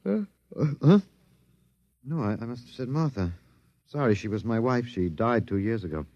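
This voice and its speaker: A man playing a weary, gentle but stubborn middle-aged captive in a 1950s radio drama, hesitant and understated. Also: medium pitch, animated, moderate pace, dry recording, lightly acted. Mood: quietly resigned. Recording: dull, dry vintage 1950s radio recording.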